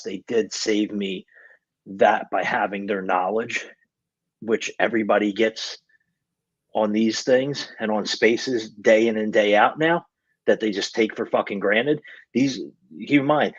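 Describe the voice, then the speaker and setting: A man's voice: fairly low pitch, slightly rough, no echo. A man in his twenties giving a casual motivational rant, blunt, emphatic and full of swearing, on lo-fi audio. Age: 20s. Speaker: podcast speaker.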